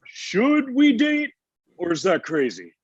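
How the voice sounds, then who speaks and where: Man playing a husky, high-voiced needy Santa. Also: wildly swooping pitch, takes it slowly, lo-fi sound, heavily acted. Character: Santa Claus as insecure, petulant, needy married man. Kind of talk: comedy table read.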